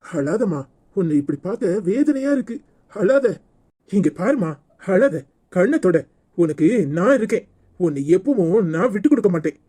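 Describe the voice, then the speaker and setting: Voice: mid-range voice, animated, moderate pace, dark tone, breathy edge. An actor playing a male village brother-in-law in a melodrama, protective and earnest but short-tempered. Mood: consoling. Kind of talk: melodramatic village serial acting.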